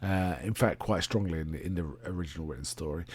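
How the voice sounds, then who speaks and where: A man hosting a casual solo review, talking in a halting, rambling way and often correcting himself. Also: sits low, a little mellow. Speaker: host reviewing film and television.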